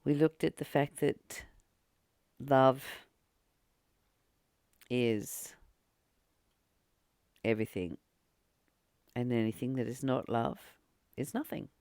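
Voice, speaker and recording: A woman leading a spiritual book study, reading aloud and reflecting in a deliberate, emphatic manner with pauses and repeated phrases. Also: a bass voice, darkish tone, dry recording, studio quality. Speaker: spiritual teacher and channel host.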